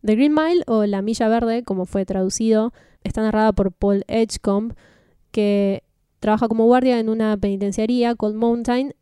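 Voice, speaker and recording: An Argentine woman co-hosting a podcast, talking in a relaxed, explanatory way. Her voice is mellow and smooth. The recording is dry and close. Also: fairly high pitch, moderate pace, no room sound. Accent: Rioplatense Spanish. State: slight cough. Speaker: podcast co-host. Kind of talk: casual podcast chat.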